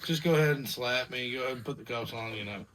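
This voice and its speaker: A man in his twenties co-hosting a casual vlog chat, chiming in with quick agreements and loose, repetitive phrasing. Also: mid-range voice, a bit bright, slight background noise. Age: 20s. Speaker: vlog co-host.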